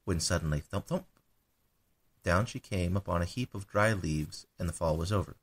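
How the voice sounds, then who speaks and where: A man's voice: low voice, moderate pace. A man reading a children's bedtime story as a scripted narration.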